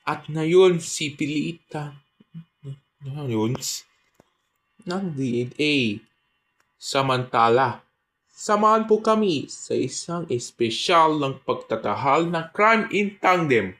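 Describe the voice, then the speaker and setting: Very slow, dramatic male radio host. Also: on the high side, animated, a bit bright. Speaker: crime show host. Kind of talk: dramatic scripted radio narration.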